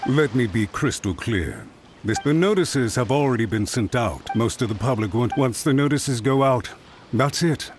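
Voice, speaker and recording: An actor playing a smug, blustering, scheming official in a video game, pompous and theatrical. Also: male voice, medium pitch, lively intonation, normal speed, noisy background, close to an everyday voice. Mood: smug, defiant.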